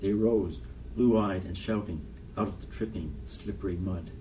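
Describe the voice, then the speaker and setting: A man's voice: low voice, unhurried, mellow. A man reading a literary poem aloud as part of a group reading. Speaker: poetry reader. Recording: distant mic, faint background hiss.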